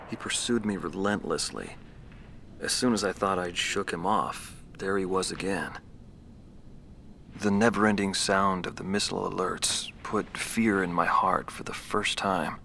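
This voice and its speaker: An actor playing a weary former fighter pilot who quietly recalls a battle. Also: male voice, low voice, a little hiss or hum, full character voice. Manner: quiet, somber, reflective. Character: weary older male ex-pilot telling his war memories. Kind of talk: interview-style recollection in a game cutscene.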